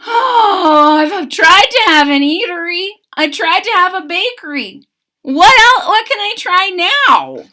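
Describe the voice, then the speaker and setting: A flustered, kindly baker frets and exclaims in a high, swooping voice, as a woman puts him on while reading a storybook. The tone is bright and the delivery slow. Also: big pitch swings, heavily acted. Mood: fretful.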